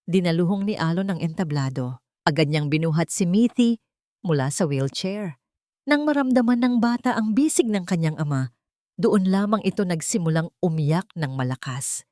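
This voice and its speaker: A woman tells a dramatic story in a slightly low voice. Her delivery is intense and emotive, with scripted narration recorded very dry. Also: lively intonation, normal speed, warm tone, dry recording.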